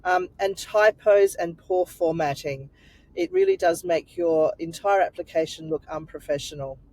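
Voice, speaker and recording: A woman guest giving career advice on a webinar, brisk and blunt as she runs through a list of mistakes. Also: lowish voice, animated, darkish tone, poor audio. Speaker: recruitment guest.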